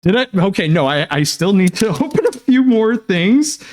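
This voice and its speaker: A young Canadian man hosting his channel, chatting through fan mail in a casual, excitable way, with lots of fillers and restarts. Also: sits high, normal speed. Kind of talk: casual unboxing chat.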